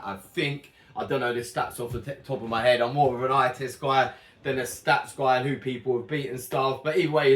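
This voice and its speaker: A young man chatting casually and rambling through a fight prediction, correcting himself often, his voice a little worn. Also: mid-range voice. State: slightly losing his voice. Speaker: boxing channel host. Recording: echoey room.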